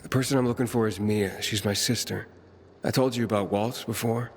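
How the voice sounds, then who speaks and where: An actor playing a troubled young wanderer in a video game, speaking in a hesitant, halting way. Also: male voice, fairly low pitch, steady tone, a little hiss or hum, lightly acted. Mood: troubled, haunted. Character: troubled young male wanderer searching for his sister. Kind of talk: video game cutscene acting.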